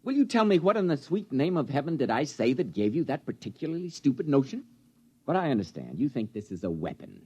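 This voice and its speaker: A man's voice: mid-range voice, sing-song, fast, a little mellow, breathy edge, lo-fi sound. A crotchety elderly scientist in a mid-century radio drama. He speaks with biting sarcasm and theatrical indignation, treating whoever listens as a fool. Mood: scornful. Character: cranky, insulting old male scientist, about seventy-five. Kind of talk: 1950s radio drama acting.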